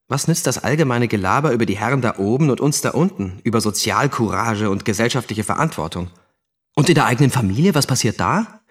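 An actor as a slightly low-voiced, earnest radio trainee. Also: male voice, natural voice. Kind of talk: narrated monologue in a German audio play.

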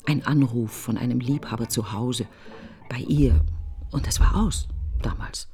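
An actress playing a bitter, grieving middle-aged woman in a literary radio monologue, breathy and brooding, speaking in insistent fragments. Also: extremely low pitch, unhurried, mellow, faint music behind. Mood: wistful, intent.

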